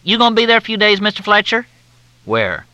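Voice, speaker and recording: A man's voice: high-pitched, very animated, fairly quick, sharp tone, no echo, voice pushed up, full character voice, rough recording. A man voicing a courteous Southern army major with a thick drawl that makes everything sound like a question. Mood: folksy, chatty.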